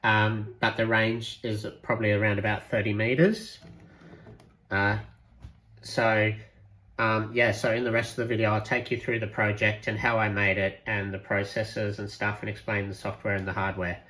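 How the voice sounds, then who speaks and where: A man's voice: on the low side, little pitch movement, normal speed, bright, forceful tone, poor audio. A man presenting a homemade electronics project in an informal, unscripted way, hesitantly thinking aloud as he goes. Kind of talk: casual unscripted project walkthrough.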